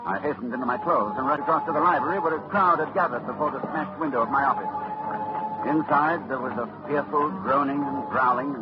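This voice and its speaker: Scholarly narrator played by a man, mid-pitched, dramatic measured delivery, dull vintage radio sound with music under. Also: natural voice. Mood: narrating. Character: learned male librarian-doctor narrating his ordeal. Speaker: narrator and lead in a radio play. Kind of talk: 1940s radio acting. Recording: dull old radio recording with music score.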